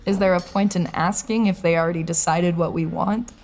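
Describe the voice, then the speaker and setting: Actress as anxious, low-voiced woman traveller. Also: fairly even intonation, brisk, a little mellow, faint music behind, close to an everyday voice. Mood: uneasy. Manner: hesitant, wary, introspective. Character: anxious, suspicious young woman traveller, uneasy narrator. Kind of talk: audio drama acting with first-person narration.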